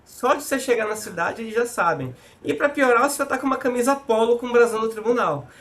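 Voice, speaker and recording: A man's voice: extremely high pitch, fast. A man hosting a podcast, a judge himself, who tells anecdotes and acts out the dialogue in them. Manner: animated storyteller who acts out quoted dialogue.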